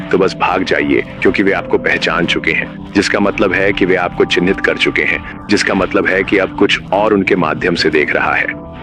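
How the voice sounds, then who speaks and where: A man playing a grave, menacing emergency announcer in a scripted horror broadcast, speaking in a measured way. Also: deep voice, lightly acted. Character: ominous male emergency broadcast announcer warning of uncanny teachers. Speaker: narrator.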